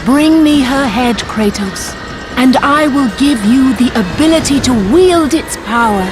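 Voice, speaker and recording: An actress playing an imperious goddess in a video game, stately and commanding. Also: female voice, sits high, animated, measured pace. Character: imperious goddess granting a quest. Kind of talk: dramatic video game acting. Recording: loud music over the voice.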